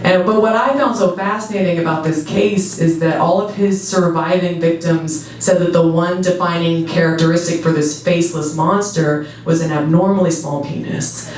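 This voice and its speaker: A woman in her thirties with a deep voice for a woman, doing a laid-back stand-up set with lots of fillers and giggles. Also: noisy background. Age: 30s. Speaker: stand-up comedian. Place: comedy club stage. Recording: echoey club, voice from a distance, crowd. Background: audience laughing.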